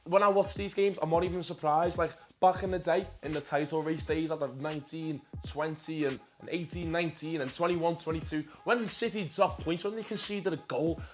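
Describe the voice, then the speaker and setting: A young man, a bit hoarse, giving an excitable, sweary casual recap of the football week with dry asides. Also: on the high side, a little fast, hardly any pauses, faint music behind. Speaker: football vlogger and fan.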